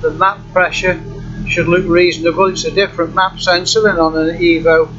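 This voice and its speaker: A man giving an informal, unscripted walkthrough of software in a halting, matter-of-fact way. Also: a little high, little pitch movement. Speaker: software demonstrator. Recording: muffled, loud engine hum and noise. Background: running car engine hum.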